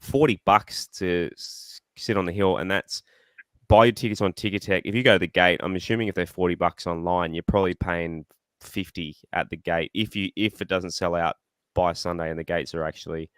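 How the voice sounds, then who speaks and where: An Australian man hosting a casual fan podcast, rambling and excitable, his voice slightly croaky. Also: low-pitched, fairly quick, no echo. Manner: rambling, excitable, self-deprecating. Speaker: podcast host.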